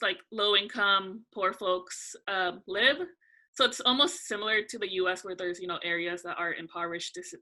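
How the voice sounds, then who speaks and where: A young woman from California, a guest talking casually and thoughtfully in an interview, with frequent pauses. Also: mid-range voice, sharp tone.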